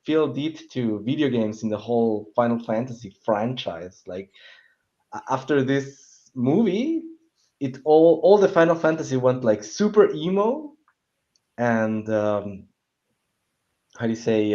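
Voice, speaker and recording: A man chats about his opinions on a panel show, at an ordinary male pitch. He talks haltingly, feeling around for the right word, and comes through on a dull, lo-fi call. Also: expressive, mellow. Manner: halting, searching for words. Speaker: panellist on a film talk show. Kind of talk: casual opinionated film discussion. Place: online call with screen sharing.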